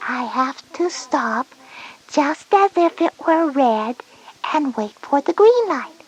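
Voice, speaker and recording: Casting: a tiny curious little sister, breathy, soft and high, earnest, for a children's educational story. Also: female voice, animated, takes it slowly, muffled recording.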